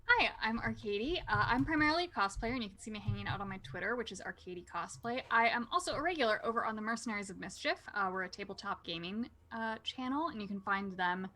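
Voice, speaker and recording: A woman's voice: medium pitch, lively intonation, quick pace, few pauses, pushed and bright. A woman cosplayer and player on a tabletop stream, upbeat as she chats and plugs her channels. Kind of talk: casual chat.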